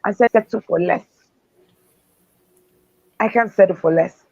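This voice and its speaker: A woman's voice: medium pitch, measured pace, slightly rough, dry recording. A woman speaker and author giving earnest, faith-driven motivational answers in an interview. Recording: dull, dry lo-fi sound.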